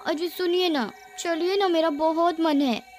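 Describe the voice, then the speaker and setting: High-pitched young wife acted by a woman, playful, pleading, expressive, animated horror tale, music underneath. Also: a little slow. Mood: eager pleading. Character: playful young village wife, eager then frightened. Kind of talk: animated horror story dialogue.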